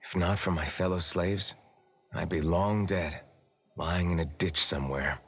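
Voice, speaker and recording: A man's voice: deep voice, fairly even intonation, unhurried, a bit bright, breathy edge, faint music behind, heavily acted. An actor playing a stoic young male slave in a video game, speaking in a dry, matter-of-fact way. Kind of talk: video game dialogue acting.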